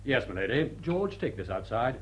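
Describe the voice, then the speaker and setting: An actor playing a suave, unflappable butler in a radio drama, formal and dry, with an upper-class English accent. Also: male voice, mid-range voice, moderate pace, noisy background, close to an everyday voice. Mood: deferential. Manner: formal, dry, deadpan wit. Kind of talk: British radio drama acting.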